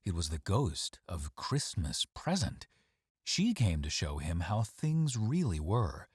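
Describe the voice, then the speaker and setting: Deep-voiced man reading a story, lively delivery. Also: takes it slowly, slightly rough, dry recording, studio quality. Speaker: story reader. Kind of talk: scripted storytelling narration.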